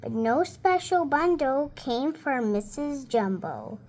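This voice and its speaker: Slow, high-pitched little girl reading aloud. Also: expressive, a little mellow, a little hiss or hum, low-quality recording. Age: child, about seven. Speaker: young reader.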